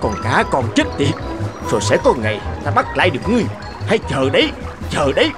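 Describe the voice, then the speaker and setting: A man voicing a grumpy, gullible old fisherman in an animated fable, with exaggerated grumbling. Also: a little high, fast talker, over music. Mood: furious.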